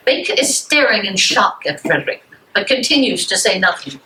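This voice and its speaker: Lively, bright woman narrator, background noise. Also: medium pitch, moderate pace, low-quality recording. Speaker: narrator reading stage directions. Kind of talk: scripted narration. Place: indoor room at a public library.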